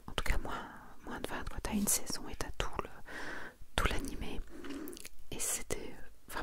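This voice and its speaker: Whispering woman, soft breathy ASMR voice. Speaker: host of an ASMR manga collection video. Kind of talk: whispered ASMR presentation, reading summaries and commenting.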